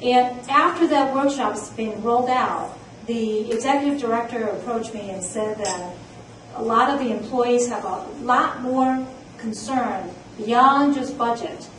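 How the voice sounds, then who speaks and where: A woman's voice: fairly high pitch, measured pace, few pauses, slight background noise. A woman presenting at a conference, speaking earnestly with frequent fillers. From immigrant. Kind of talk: conference talk. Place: conference room. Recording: echoey room, mic from far off.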